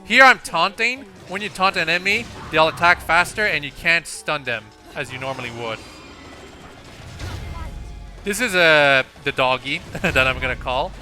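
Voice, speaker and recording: A man's voice: a little high, expressive, moderate pace, pushed and bright. A man giving casual, explanatory commentary over a video game walkthrough in an informal way. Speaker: gameplay commentator. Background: faint game music.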